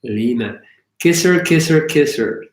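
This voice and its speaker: A man's voice: on the high side, lively intonation, a little slow, roomy sound. A man hosting a live question-and-answer stream, joking and teasing while he reads out viewer questions. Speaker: YouTube host.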